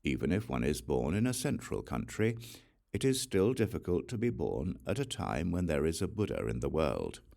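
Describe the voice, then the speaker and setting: A man narrating a Buddhist scripture as an audiobook, reciting solemnly in short, measured phrases. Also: extremely low pitch, big pitch swings, creaky, darkish tone.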